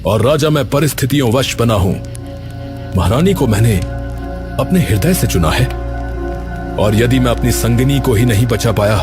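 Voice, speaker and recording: Man acting devoted king, resolute, earnest formal speech, medium pitch, cartoon tale, loud music behind. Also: natural voice. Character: devoted, resolute exiled king returning unrecognised to his court.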